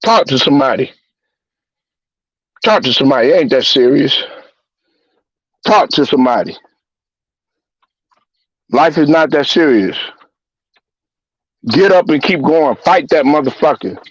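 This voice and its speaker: A man's voice: medium pitch, expressive, unhurried, pauses often, breathy edge, dry recording, highs cut off. A man hosting a talk show, ranting bluntly and profanely with heated repetition. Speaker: host. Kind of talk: profane sports-talk rant.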